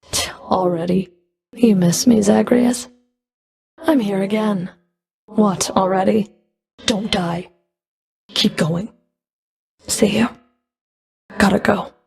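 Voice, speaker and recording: A woman voicing a stern, sardonic underworld Fury in a video game, menacing and flirtatious, with a creaky voice and cool, clipped, commanding taunts. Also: mid-range voice, darkish tone. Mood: dismissive. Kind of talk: video game character voice lines.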